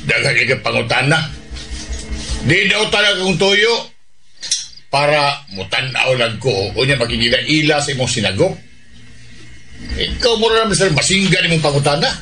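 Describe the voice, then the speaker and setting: A man playing a stern, controlling and curt man of money in a radio drama, with a Cebuano accent. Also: fairly high pitch. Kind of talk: Filipino radio drama acting. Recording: music bed behind dialogue.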